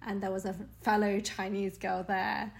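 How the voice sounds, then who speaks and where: A woman answering interview questions in a hesitant, conversational way, with many pauses and frequent laughs. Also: mid-range voice, completely flat delivery, vocal fry. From United Kingdom. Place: Hong Kong.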